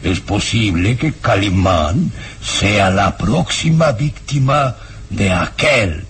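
Sinister, deep-voiced commanding baron. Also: male voice, animated, a bit bright, slight background noise. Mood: cold, commanding. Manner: imperious, menacing, deliberate. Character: sinister, commanding baron, cold scheming villain. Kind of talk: melodramatic radio drama acting.

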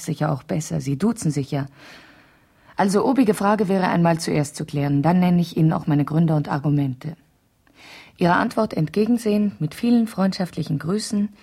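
A resolute, genteel wife of about thirty in a seventies radio play. Her voice is low, soft-toned and husky. She delivers her letters in a measured, formal way. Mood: polite, probing. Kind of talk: 1970s German radio play, letter monologue.

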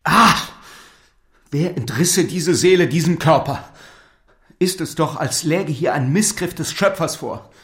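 Creaky-voiced lovesick lord. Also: male voice, a little high, measured pace, a bit bright. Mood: anguished despair. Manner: earnest, solemn, literary phrasing. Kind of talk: German audio drama acting. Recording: music under the voice.

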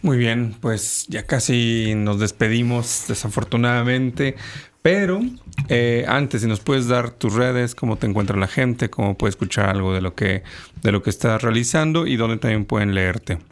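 A man's voice: medium pitch, moderate pace. A man hosting a radio show in a friendly, easy way. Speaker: radio host. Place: radio studio.

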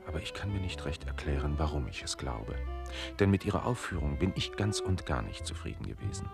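An actor playing a sceptical, philosophising gentleman in a German radio play, pondering and admonishing. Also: extremely low pitch, big pitch swings, fairly quick, creaky, music in the background, heavily acted. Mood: sceptical, puzzled. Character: sceptical, philosophising gentleman, scolding his servant companion.

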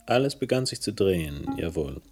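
A man's voice: sits low, sing-song, normal speed, music in the background. A man playing a world-weary, hard-drinking, self-mocking character who narrates and speaks in a noir-like radio drama. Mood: brooding.

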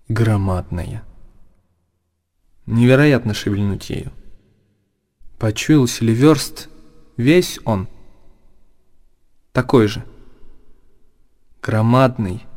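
A man giving a dramatic literary narration for radio, solemn and deliberate, breaking the text into short phrases. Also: on the low side, darkish tone. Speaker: narrator reading literary prose.

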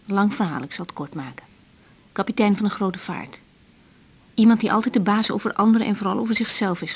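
A woman's voice: medium pitch, a little mellow, slightly rough. An actress playing an earnest, troubled young female doctor in a naturalistic radio drama, speaking in a direct, insistent, conversational way. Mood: troubled, pleading. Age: young adult.

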